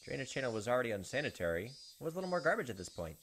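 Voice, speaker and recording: A man's voice: medium pitch, very animated, racing, fairly bright tone. A man hosting a visual novel playthrough, reading narration aloud and commenting casually. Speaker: gaming video host.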